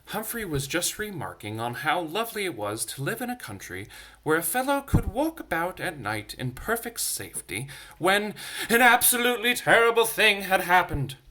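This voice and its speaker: A man reads a children's story with very animated pitch and a bright voice. He is expressive and dramatic, as a storyteller for young listeners. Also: normal speed. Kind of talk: animated bedtime story reading with asides.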